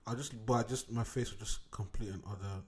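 A man's voice: lowish voice, fairly even intonation, fairly quick. A young man from Nigeria in his twenties hosting a casual solo podcast from his home desk, rambling, digressing and swearing freely. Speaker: podcast host. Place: home room at a computer desk.

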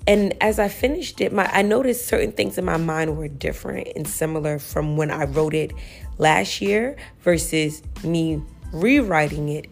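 Low, gravelly-voiced woman podcaster. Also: moderate pace, faint music behind. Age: 30s. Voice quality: a little raspy. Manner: chatty and self-reflective, full of "you know" and "like". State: early-morning voice. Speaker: podcast host. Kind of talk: casual solo podcast talk.

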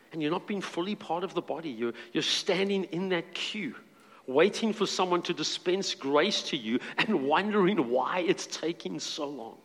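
A man's voice: on the high side, fairly bright tone, big-room sound. A man preaching a sermon as pastor, reading scripture aloud and adding earnest asides.

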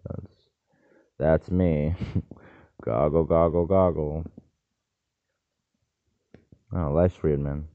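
A man with a very low voice talks in a loose, wandering monologue, full of jokey asides and restarts. His voice is gravelly with fry. The sound is dry and thin, like a phone line. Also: dry recording. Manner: meandering, self-interrupting, jokey asides. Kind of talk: rambling solo monologue.

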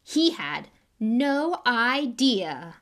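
A woman voicing a vain, showy grown male wizard celebrity in an audiobook, speaking loudly and theatrically. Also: fairly high pitch, very animated, drawn-out words, pitched above the performer's own voice, exaggerated voice. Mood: boastful. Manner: loud, grand, theatrical announcing. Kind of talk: audiobook character voice.

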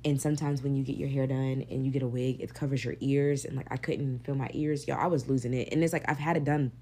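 A young woman hosting her own podcast, talking candidly and casually, swearing freely, with a deep, gravelly voice. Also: steady tone, fast talker, dark tone. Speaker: podcast host. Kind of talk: casual solo podcast monologue.

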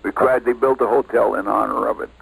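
An older man with an American accent casually reminiscing as an interview guest, halting and repeating himself. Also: on the low side, fairly even intonation, creaky, fairly bright tone, husky, rough recording.

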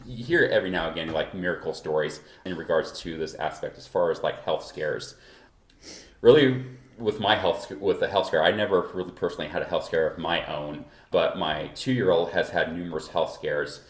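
A man's voice: low-pitched, fairly quick. A man from Kansas hosting a podcast in loose, rambling casual chat, feeling a bit unwell. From Kansas, USA. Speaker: podcast host. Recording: echoey room recording.

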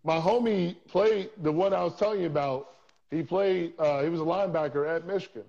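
A man's voice: on the high side, a little fast, gravelly, a little mellow. Dull-sounding man rambling from his basement. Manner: loose, profane, rambling. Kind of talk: casual chat. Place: home basement.

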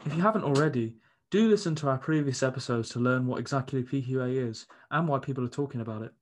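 A man co-hosts an education podcast with a mid-range voice. He reads his scripted links at a fast, smooth clip. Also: mid-range voice. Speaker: podcast co-host.

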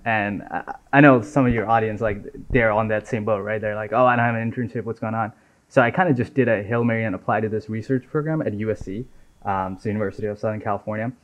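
A man in his twenties from Nepal, chatting casually as an interview guest. He talks in a relaxed, easy way, often dropping "right?" and "man" into his sentences. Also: lowish voice, fast. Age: 20s. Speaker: software engineer guest. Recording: dull lo-fi audio.

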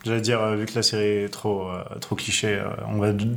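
A man's voice: fairly low pitch, little pitch movement, brisk, a bit bright. A young man co-hosting a casual chat about a series, speaking in hesitant slang with English words mixed in. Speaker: podcast co-host.

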